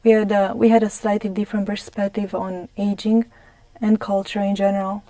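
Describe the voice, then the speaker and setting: Young woman, Romanian accent, guest on a community TV show; even, mellow delivery, halting and careful. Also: medium pitch, dry recording, rough recording. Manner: careful, many ums and restarts. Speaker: studio guest. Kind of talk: studio interview. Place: TV studio.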